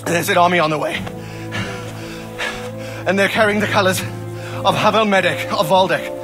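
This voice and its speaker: An actor playing a frantic soldier messenger in a video game, out of breath and urgent. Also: male voice, high-pitched, moderate pace, over music, close to an everyday voice. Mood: breathless alarm. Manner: urgent, agitated. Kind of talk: video game dramatic acting.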